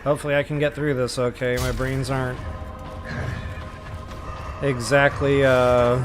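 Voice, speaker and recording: A man's voice: medium pitch, flat delivery, a little slow. A man giving casual gameplay walkthrough commentary, talking straight to his viewers as a channel host. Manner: casual, talks directly to viewers. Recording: game music behind the voice.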